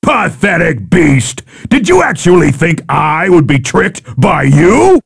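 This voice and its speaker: Ancient owl fighter, stern, haughty, very raspy, wildly swinging pitch, fighting game. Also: male voice, very animated, heavily acted. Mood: scornful. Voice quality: gravelly, husky. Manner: haughty, deliberate, archaic challenges. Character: proud ancient owl warrior, male, stern and battle-hungry.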